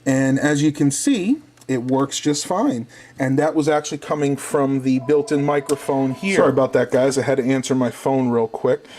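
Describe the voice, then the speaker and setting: A man's voice: mid-range voice, moderate pace, darkish tone. A man reviewing a product in a casual hands-on demonstration, explaining things methodically step by step.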